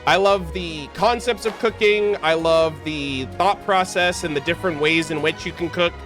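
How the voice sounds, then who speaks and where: A man's voice: on the high side, moderate pace. A man giving casual livestream commentary on a game in an enthusiastic, gushing way. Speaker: game streamer. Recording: game music behind.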